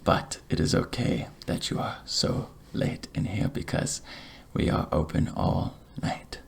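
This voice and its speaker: A man speaking softly in a breathy half-whisper, gentle and courteous, as a barber in an ASMR roleplay. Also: slight background noise. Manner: gentle, courteous, unhurried reassurance. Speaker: ASMR creator playing a night-shift barber.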